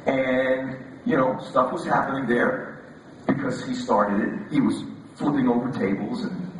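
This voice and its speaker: A man preaching a relaxed, conversational sermon, his voice scratchy. He jokes and often repeats words as he restarts. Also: medium pitch, measured pace. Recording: echoey hall, voice from far off.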